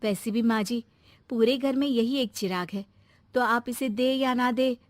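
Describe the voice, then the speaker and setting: A woman's voice: fairly high pitch, unhurried, dry recording, lightly acted. A woman playing a devoted, emotional young daughter-in-law and mother in a moral-story cartoon, earnest and dramatic. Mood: earnest, defending.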